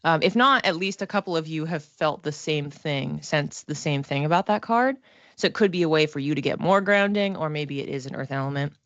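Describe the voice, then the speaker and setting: A woman giving a casual livestream psychic reading, talking to viewers conversationally and thinking aloud in halting phrases. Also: low voice, a bit bright, no echo, muffled recording. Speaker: psychic reader and class teacher.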